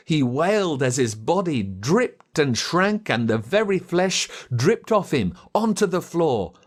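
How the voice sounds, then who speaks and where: An Australian man narrating a children's story in a dramatic, expressive way. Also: a little high. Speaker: audiobook narrator.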